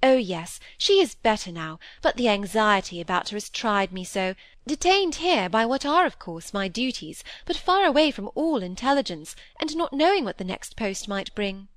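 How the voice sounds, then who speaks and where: A woman's voice: mid-range voice, expressive, moderate pace, few pauses, dry recording, close to an everyday voice. A woman playing a gushing, self-pitying stepmother. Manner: affected, gushing, fluttery. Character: fussy, self-pitying middle-aged stepmother. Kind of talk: audiobook character reading.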